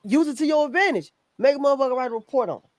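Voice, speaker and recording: A woman's voice: sits high, lively intonation, rapid-fire, no echo. A woman with an American accent hosting a casual podcast discussion, emphatic and slangy, swearing freely. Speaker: podcast host.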